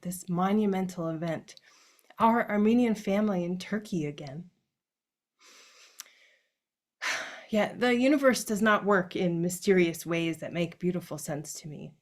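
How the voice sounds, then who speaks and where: A woman performing a reflective autobiographical monologue on stage, speaking directly to her grandfather. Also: fairly low pitch.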